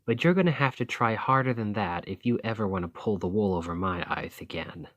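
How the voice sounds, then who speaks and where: A man's voice: lowish voice, animated, fairly quick, a bit bright, no echo, highs cut off. A man playing a roguish bandit leader in an ASMR roleplay, teasing and grudgingly impressed, with folksy turns of phrase. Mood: curious, teasing. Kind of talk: ASMR roleplay acting, one-sided dialogue.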